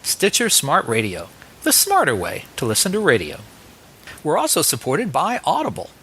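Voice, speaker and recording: Bright, animated American male host. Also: on the high side, husky. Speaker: interview show host. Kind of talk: podcast interview and sponsor reads.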